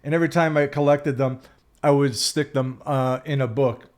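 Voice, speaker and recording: A man of sixty, speaking at an ordinary middle pitch. He talks in a loose, reflective way, full of fillers and self-corrections, and turns emphatic when he disagrees. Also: steady tone, moderate pace, darkish tone. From Canada. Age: 60s. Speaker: spiritual vlogger. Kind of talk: reflective solo talk.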